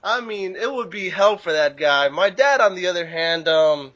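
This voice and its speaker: Young man, high pitch, sharp bright tone, slangy, rambling casual chat, guest commentator. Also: animated, moderate pace, lo-fi sound. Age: young adult.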